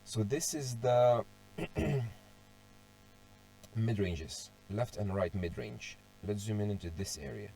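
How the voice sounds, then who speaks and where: A young man with a fairly low voice talks through his walkthrough at an unhurried, drawn-out pace. He is sick and his voice is going hoarse. He thinks aloud as he goes, restarts phrases and keeps clearing his throat. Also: dark tone, soft background music, low-quality recording. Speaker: car audio hobbyist presenting a tuning walkthrough. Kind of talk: casual screen-share tutorial.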